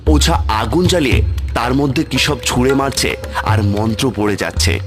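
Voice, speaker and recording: A man's voice: mid-range voice, lively intonation, normal speed. A man telling a scripted horror story as the narrator of a cartoon tale. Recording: loud music with cricket sounds behind.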